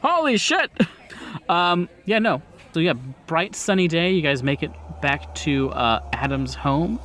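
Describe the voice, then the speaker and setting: A man with a fairly high voice runs a tabletop role-playing game. He talks casually, teasing his players and narrating scenes off the cuff. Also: expressive, unhurried, a bit bright. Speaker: game master.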